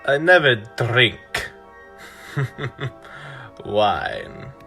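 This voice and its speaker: A man acts a courtly, sinister vampire lord for a radio play. His delivery is slow and deliberate, with formal, theatrical phrasing. The tone is bright and forceful. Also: mid-range voice, big pitch swings, vocal fry, faint music behind, full character voice. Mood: silky, sinister. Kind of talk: radio drama acting.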